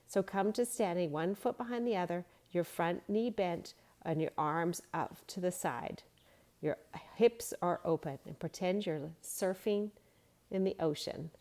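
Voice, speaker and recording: A woman's voice: on the low side, a little slow, a little mellow. A woman narrating a read-along storybook and giving yoga instruction in simple phrasing for young children.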